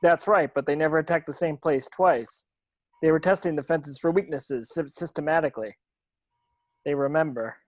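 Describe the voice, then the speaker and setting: A man playing a grim, intense game warden in his forties at a script table read, grave and deliberate. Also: on the high side, no echo, close to an everyday voice. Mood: grim, warning.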